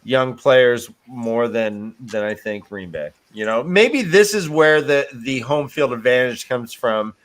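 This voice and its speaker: A man co-hosting a livestream, chatting casually in a loose, joking way with halting restarts. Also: mid-range voice, a bit bright. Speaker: co-host of a fantasy football livestream. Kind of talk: casual chat.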